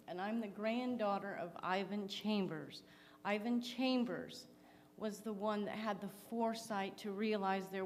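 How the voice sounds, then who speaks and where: Earnest woman speaking in a hall. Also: medium pitch. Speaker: conservation advocate. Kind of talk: public comment at a forum. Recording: reverberant hall with faint background noise.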